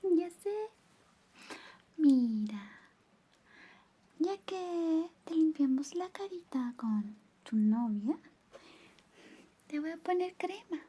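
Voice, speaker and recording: A woman performing a soft-spoken, partly whispered ASMR roleplay as an affectionate, teasing best friend, coy and doting. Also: high voice. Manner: coy, playful, doting, murmuring little interjections. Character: affectionate, teasing, jealous young female best friend in love with the listener.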